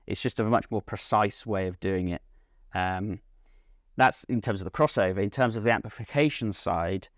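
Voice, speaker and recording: A man speaking as a company representative in an interview, giving measured, unscripted technical explanations of a product. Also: low-pitched, dry recording, clean studio sound. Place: at a hi-fi trade show.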